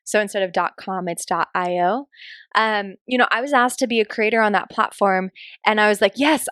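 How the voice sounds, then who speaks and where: A woman's voice: medium pitch, no room sound. Chatty woman podcaster, dry close sound. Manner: chatty, emphatic, informal. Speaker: podcast host, business coach. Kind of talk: casual solo podcast monologue.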